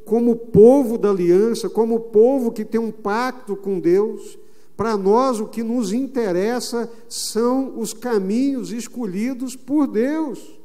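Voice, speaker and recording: A Brazilian man preaching a sermon as pastor, emphatic, stretching some phrases slowly. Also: very high voice, warm tone. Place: church sanctuary. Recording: long reverb of a church hall.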